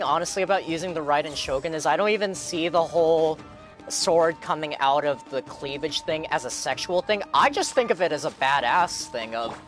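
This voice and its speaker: A man's voice: a little high, a bit bright. A man livestreaming a game, chatting casually and reacting in an informal, rambling way. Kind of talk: casual gameplay reaction chat. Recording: game music under voice.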